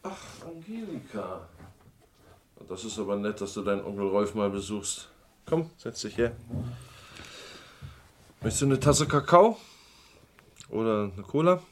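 A man playing a smooth-talking, patronizing uncle with menace underneath in a German radio crime drama. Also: on the low side, big pitch swings, measured pace, pauses often, slight background noise. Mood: falsely friendly.

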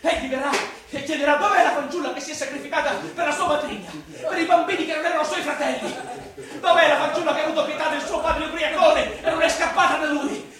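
Wretched drunkard, radio drama, rambling and self-abasing, very reverberant, far from mic. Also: male voice, very high voice, steady tone, quick pace, a crowd in the background. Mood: anguished. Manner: pleading, rambling, overwrought, repeating himself. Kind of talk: theatrical radio drama monologue. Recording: very echoey, distant from the mic. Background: music.

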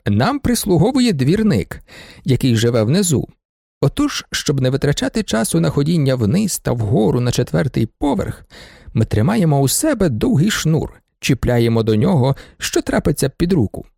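A man's voice: fairly high pitch, sing-song, moderate pace, vocal fry, dark tone, slightly rough, dry recording, studio quality, full character voice. A man reading an audiobook, voicing an insolent young student who explains himself with mock courtesy. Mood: mock-polite. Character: insolent young male student, smooth talker. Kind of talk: audiobook character voice.

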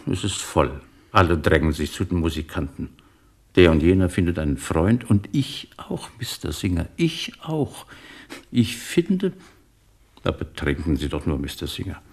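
An actor in a German radio play, playing a talkative, sentimental immigrant shopkeeper who tells things with insistent emphasis and a gravelly voice. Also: male voice, sits low, darkish tone, exaggerated voice. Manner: effusive, insistent storytelling with emphatic pauses.

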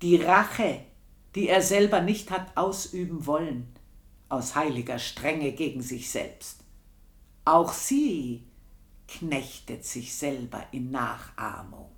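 Radio play role: unforgiving old housekeeper, southern German or Austrian accent, deep female register, deliberate and intense, echoing room. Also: expressive, exaggerated voice. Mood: cold, grimly satisfied. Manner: deliberate, intense, drops into whispers. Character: bitter, vengeful old housekeeper, pious and unforgiving. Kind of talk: slow, literary radio drama monologue.

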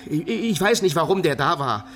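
An actor playing a frightened East German state informant in a radio drama, agitated, stammering and pleading. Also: male voice, on the high side, lively intonation, brisk, a bit bright, soft background music. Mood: desperate.

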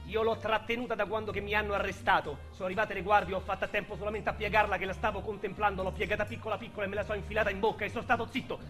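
Man doing a calm creepy killer in a radio play, slow and measured, higher voice. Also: soft background music. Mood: contemplative. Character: calm male serial killer fixated on details.

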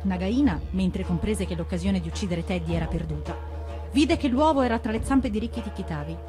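A woman reading a story aloud as an actress, in an expressive storybook narration. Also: medium pitch, brisk, music in the background. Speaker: actress reading stories on radio.

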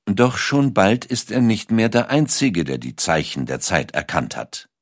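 A man with a deep voice narrates a scripted documentary. His intonation moves widely as he tells the story in a measured, dramatic way. The sound is dry, with no room around it. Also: sing-song, dry recording.

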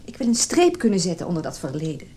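A woman's voice: on the low side, very animated, fast, warm tone. A woman playing an insecure, devoted lover in a radio drama, needy and pressing. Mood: anxious.

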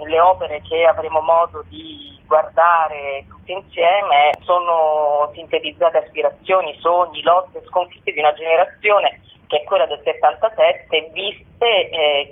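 A woman, a festival curator, explaining at length in a radio interview, articulate and pausing between phrases. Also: deep voice, fairly even intonation. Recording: tinny narrow telephone line.

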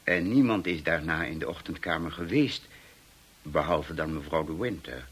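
A formal manor butler in a radio play, with a very low, husky voice. He is deferential and precise, every phrase politely put. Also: expressive, moderate pace, a bit bright, full character voice. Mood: formal, concerned. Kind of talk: Dutch radio drama acting.

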